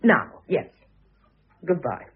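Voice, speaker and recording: An actress playing a composed middle-aged ex-wife and mother in a radio drama, gentle, wry and affectionately teasing. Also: low voice, big pitch swings, slow pace, slight background noise, full character voice. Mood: lively, concerned. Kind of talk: classic British radio drama acting. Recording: muffled phone-like old radio recording.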